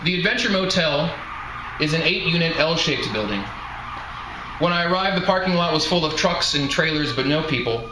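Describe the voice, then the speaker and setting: A man's voice: a little high, expressive, normal speed. A man giving a live literary reading, narrating like an author presenting his own work. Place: indoor live reading event before an audience. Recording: roomy echoing live venue, some noise.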